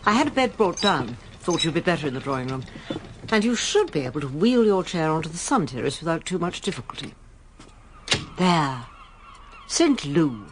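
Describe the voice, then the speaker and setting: An actress playing a caring, practical sister with an English accent in a radio drama. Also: female voice, low voice, big pitch swings, moderate pace, background noise. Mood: caring, apologetic. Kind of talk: British radio drama acting.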